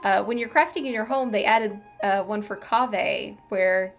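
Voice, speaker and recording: A woman's voice: mid-range voice, moderate pace. A woman doing casual, playful gameplay commentary as a streamer, chatting away to herself. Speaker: game streamer. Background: game music.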